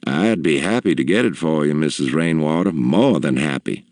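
A man's voice: extremely low pitch, lively intonation, normal speed, a little mellow, dry recording, studio quality. A man voicing a polite, confident male store clerk in a story, courteous and composed. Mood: composed, obliging. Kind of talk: storybook character voice in narration.

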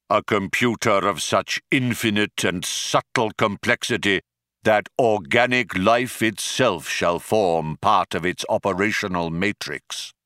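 A man plays a vast, patient supercomputer in an audiobook. The delivery is slow and grand, mild but pompously declamatory, with a bright, close, dry sound. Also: on the low side, no room sound, heavily acted.

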